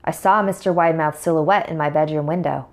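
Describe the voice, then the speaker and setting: A woman with a fairly low voice narrates a scripted horror tale in the first person, as storyteller. Music plays clearly behind her words. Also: fairly even intonation, fairly quick.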